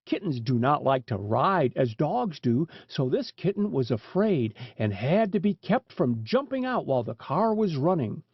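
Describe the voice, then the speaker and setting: A man's voice: fairly high pitch, very animated, normal speed, hardly any pauses, husky, no room sound. A man reading a short story aloud as an audiobook narrator, in scripted storybook narration on a dull, lo-fi recording.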